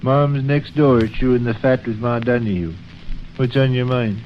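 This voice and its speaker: A man plays a middle-aged father in a 1930s radio comedy, with a mid-pitched voice. He is dry and wordy, mixing mock-formal phrases with slang. The old recording is dull and faintly noisy. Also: moderate pace, lightly acted. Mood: preoccupied. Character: droll middle-aged father, busy with office paperwork.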